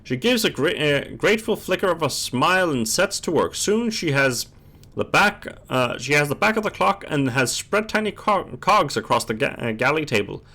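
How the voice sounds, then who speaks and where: A man's voice: on the high side, very animated, normal speed, pushed and bright. A man hosting a casual gaming stream, chatting and reading game text aloud, often stumbling and rereading words.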